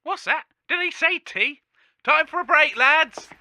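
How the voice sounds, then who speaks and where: A man's voice: extremely high pitch, animated, unhurried, pushed and bright, heavily acted. An actor, a man, playing a blunt building site worker in a radio comedy.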